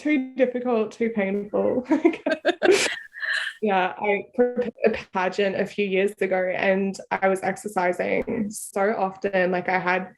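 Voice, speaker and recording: A woman's voice: mid-range voice, fairly even intonation, measured pace, creaky, faint music behind, poor audio. An Australian woman talking casually as a podcast guest over a video call, full of "like" fillers and easy laughter. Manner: chatty, frequent "like" fillers, laughs easily. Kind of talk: casual conversational interview.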